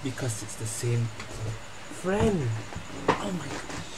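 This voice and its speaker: A woman's voice: a bass voice, big pitch swings, takes it slowly, heavy background noise. A woman gaming streamer giving casual reaction commentary while she plays. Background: game music.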